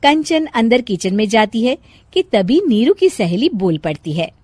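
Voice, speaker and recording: A woman's voice: on the high side, big pitch swings, measured pace, a little mellow, slightly rough, dry recording. A woman storyteller reading scripted narration for a moral bedtime story.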